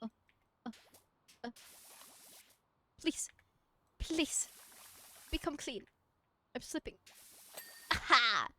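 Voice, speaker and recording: A woman's voice: high voice, animated, extremely slow, pauses often, bright, forceful tone, a little hiss or hum. A young woman streaming a game from home, chatting casually in a rambling, giggly way and talking to objects in the game. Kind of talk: casual chat while gaming.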